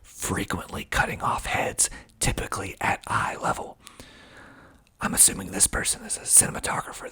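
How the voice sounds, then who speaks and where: A man speaking in a breathy, husky ASMR whisper, reading aloud and adding deliberate, dry asides. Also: bright, forceful tone. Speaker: filmmaker hosting his own video.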